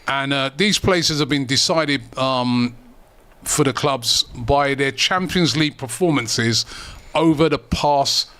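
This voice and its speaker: A man hosting a casual football chat, relaxed and full of fillers. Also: medium pitch, slow pace. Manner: relaxed, lots of fillers and restarts. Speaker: host.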